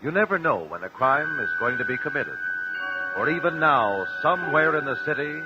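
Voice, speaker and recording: An actor playing a grave investigator who addresses the audience in vintage radio drama narration. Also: male voice, on the high side, sing-song, normal speed. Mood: solemn. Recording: dull old radio broadcast recording. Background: loud music.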